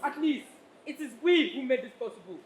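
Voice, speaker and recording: A very high male voice carries in an echoey theatre. An actor plays an ambitious, cunning chief who emphatically urges his ruler on, in stage-play style. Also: animated, fairly quick. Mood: confident. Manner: proverb-laden, emphatic. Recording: echoey stage, faint noise.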